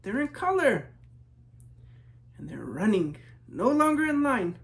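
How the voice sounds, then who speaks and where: A man from New Mexico reading his children's book aloud in measured rhythm, as a storyteller talking to young listeners. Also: very high-pitched, very animated, creaky. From New Mexico, United States.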